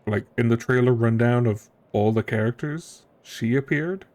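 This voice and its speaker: A streamer giving casual game playthrough commentary, musing and thinking out loud. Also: mid-range voice, moderate pace.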